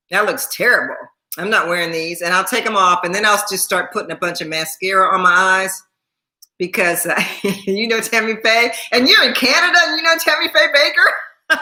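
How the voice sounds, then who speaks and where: Chatty woman in her sixties, bright voice. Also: medium pitch, reverberant. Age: 60s. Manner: chatty, repeats herself, laughs often, thanks viewers by name. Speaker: YouTube host. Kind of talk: casual livestream chat with viewers.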